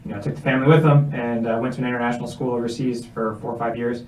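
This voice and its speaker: A man's voice: mid-range voice, little pitch movement, racing, darkish tone, reverberant, far from the mic, slight background noise, rough recording. A young man in his twenties from Kentucky, a guest on a radio show, speaking articulately with frequent filler words. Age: 20s. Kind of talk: radio interview. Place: radio studio.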